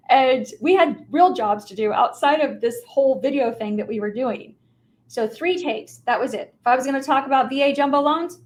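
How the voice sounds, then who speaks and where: High-voiced American woman giving motivational talk. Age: middle-aged. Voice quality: high-pitched voice. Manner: emphatic, informal, direct address to listeners. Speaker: mortgage professional giving a talk on video marketing. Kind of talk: motivational business presentation, conversational storytelling.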